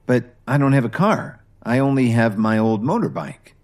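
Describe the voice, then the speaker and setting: A man voicing an earnest, quietly determined young husband close to his own voice. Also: medium pitch, normal speed, close to an everyday voice.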